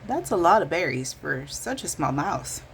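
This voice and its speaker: A woman's voice: low-pitched, big pitch swings, moderate pace, gravelly, a bit bright, a little hiss or hum, pitched above the performer's own voice, full character voice. A woman voicing a friendly, busy squirrel in a storybook, with an amused, helpful manner.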